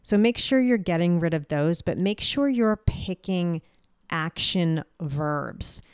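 A woman hosting a solo podcast, speaking directly and clearly with a booming voice. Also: lively intonation, slow pace, no room sound, studio quality. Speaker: podcast host.

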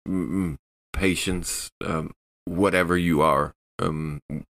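A man's voice: deep voice, fairly even intonation, slow pace, gravelly, fairly bright tone, full character voice. A man voicing an anxious spaceship crewman in a tabletop game, stammering and urgently repeating himself. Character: anxious, bewildered, bossy male spaceship crewman.

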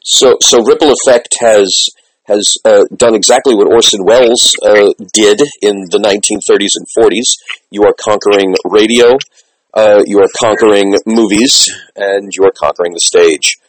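A man interviewing someone conversationally, haltingly repeating the openings of his phrases as he goes. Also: lowish voice, fairly even intonation, no echo, low-quality recording.

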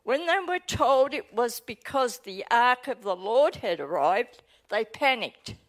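A woman pastor with an Australian accent giving a sermon and reading scripture aloud, earnest and deliberate, with small hesitations. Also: on the high side, lively intonation.

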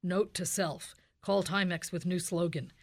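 Woman acting as a police detective, lowish voice, deadpan, clipped narration, radio crime drama parody. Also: measured pace. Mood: deadpan narrating.

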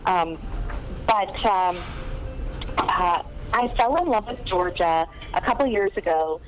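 A chatty woman novelist talks as a podcast guest. She is eager and animated, full of little ums and quick laughs. A faint hiss of noise sits under her voice. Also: lowish voice. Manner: chatty, enthusiastic, many ums, laughs often. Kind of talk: casual podcast interview chat.

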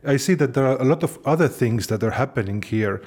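A man who hosts and narrates, asking questions in a measured, gentle way. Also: medium pitch, animated, slightly rough. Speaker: podcast host and interviewer.

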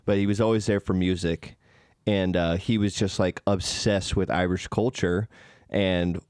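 A man's voice: deep voice, fairly even intonation, measured pace, a little mellow, smooth, no room sound, studio quality. A young man co-hosting a casual podcast, easygoing, chuckling, and often trailing off mid-sentence. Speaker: podcast co-host. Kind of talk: casual chat.

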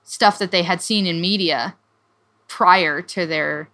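A woman's voice: on the low side, takes it slowly, sharp tone. A young woman co-hosting a podcast, chatting casually as she summarizes a book, with lots of fillers and restarts. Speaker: podcast co-host.

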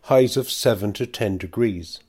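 A man's voice: lowish voice, measured pace, darkish tone, husky. A man reading a scripted weather forecast on community radio.

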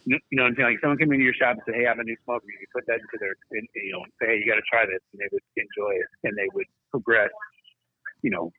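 A man's voice: mid-range voice, rapid-fire, no echo. A man giving casual commentary as a panel guest over a tinny, narrow line.

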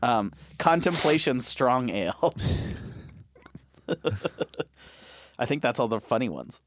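A man's voice: mid-range voice, sing-song, slow, fairly bright tone, slight background noise. A man co-hosting a podcast, chatting casually and laid-back, swearing freely and laughing often. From Montana, US. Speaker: podcast co-host.

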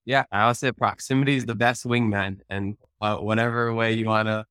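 Lowish-voiced man, native Hawaiian speaker. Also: steady tone, a little fast, fairly bright tone, smooth, no room sound, studio quality. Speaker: panel guest, podcast host. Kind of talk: casual panel talk.

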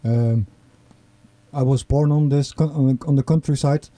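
A man's voice: mid-range voice, steady tone, warm tone. A man from the east of the Netherlands with a Dutch accent, talking hesitantly as a guest in casual conversation. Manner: hesitant, halting with many fillers.

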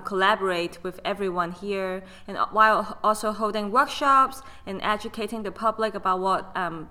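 A woman's voice: mid-range voice, bright, forceful tone. A young woman with a Hong Kong accent, a guest costume designer explaining her work in a podcast interview with frequent ums.

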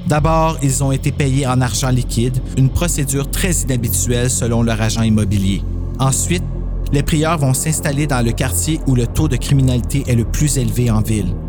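A man's voice: medium pitch, normal speed, over music. A man reading scripted narration for a horror story dramatization.

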